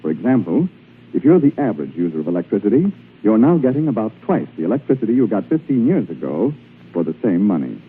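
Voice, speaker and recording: A man with an American accent announcing formally from a script in a measured, promotional 1940s radio style. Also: fairly low pitch, big pitch swings, a little hiss or hum. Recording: tinny 1940s radio broadcast, music behind.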